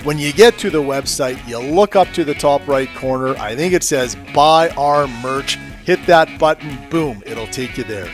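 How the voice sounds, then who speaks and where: A Canadian man in his fifties, speaking casually and conversationally as a podcast host. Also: mid-range voice. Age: 50s. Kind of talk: casual interview chat.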